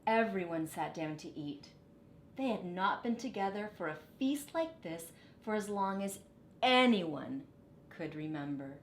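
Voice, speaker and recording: A woman reading a children's story aloud with expressive, dramatic phrasing. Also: a little slow. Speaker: storybook reader. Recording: echoey room, faint low rumble.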